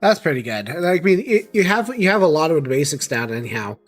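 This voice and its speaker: A man's voice: a little high, very animated, fast, soft background music. A man chatting casually on a game stream, stumbling into repeated restarts. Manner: stammering restarts. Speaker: co-host guest. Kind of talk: casual chat.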